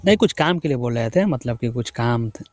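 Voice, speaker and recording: A man's voice: medium pitch, expressive, brisk, darkish tone. A young man from the of India, chatting casually with his wife, teasing and coaxing. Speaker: husband working away from home. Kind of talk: casual phone chat.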